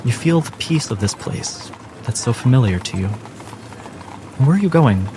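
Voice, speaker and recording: A man narrating a tabletop fantasy game as game master, in a measured storytelling manner. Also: mid-range voice, expressive, noisy background. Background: music.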